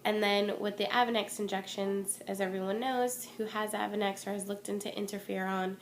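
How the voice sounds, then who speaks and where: A young woman talks to her camera at home in a relaxed, candid way, full of small fillers and restarts. Her mid-range voice sounds a little stuffy and worn from a cold. A faint hum sits behind her. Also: medium pitch, brisk. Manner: chatty and candid, many ums and restarts. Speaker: vlogger. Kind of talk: casual talking-to-camera update. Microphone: phone or webcam.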